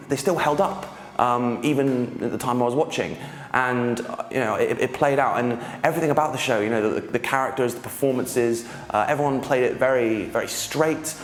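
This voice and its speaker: A man's voice: medium pitch, echoey room, big-room sound. A man in an interview, talking thoughtfully with many restarts. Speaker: interviewee, fan of the show. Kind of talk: casual interview.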